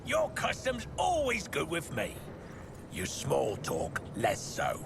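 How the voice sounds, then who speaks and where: An actor playing a grumbling arms trader in a video game, with a gravelly voice. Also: male voice, a little high, huge pitch swings, slow pace, noisy background, exaggerated voice. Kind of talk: video game character dialogue.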